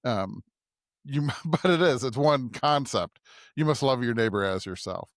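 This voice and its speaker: Casual male podcaster, middle pitch, halting delivery with repeated words, dry close recording. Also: expressive, dry recording. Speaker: podcast host. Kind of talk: casual discussion.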